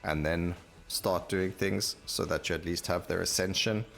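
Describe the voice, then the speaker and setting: Male gaming host, deep and gravelly, casual rambling play-by-play over light game music. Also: fairly even intonation, moderate pace, fairly bright tone. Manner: rambling, thinking aloud mid-sentence. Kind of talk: casual gameplay commentary.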